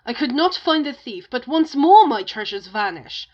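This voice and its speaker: A woman voicing a big, gullible male oni ogre in a storybook tale, with loud, emphatic declaiming. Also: high-pitched, big pitch swings, normal speed, muffled recording. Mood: aggrieved, threatening.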